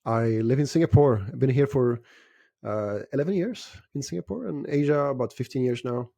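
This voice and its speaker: A middle-aged man from Sweden, answering interview questions in a measured way with frequent fillers and restarts. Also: mid-range voice, gravelly, dark tone, no room sound. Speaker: guest investor.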